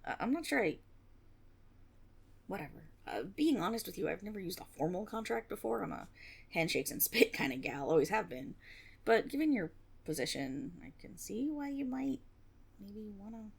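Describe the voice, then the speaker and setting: A woman's voice: medium pitch, sing-song, normal speed, gravelly, slightly rough, full character voice. A woman playing a flustered young tiefling rogue in a fantasy audio roleplay, stammering and blustering, cocky on the surface but unsure underneath. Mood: hesitant, awkward. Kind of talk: fantasy roleplay audio drama, one-sided dialogue.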